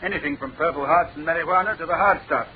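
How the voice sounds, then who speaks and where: A sceptical English chief inspector acted by a man grumbles in clipped phrases, his voice set fairly high. It is old-style radio drama on a thin, band-limited recording with a bustle behind. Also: fast talker, phone-quality sound, a crowd in the background, lightly acted. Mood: matter-of-fact. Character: gruff, sceptical male police chief inspector. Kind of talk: classic British radio drama acting.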